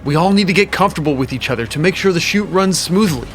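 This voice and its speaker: A man's voice: on the high side, lively intonation, fast, a bit bright, soft background music. A man playing a domineering, calculating boss in an audio drama, with a commanding, dramatic delivery. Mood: businesslike. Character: domineering, calculating male boss, easily exasperated.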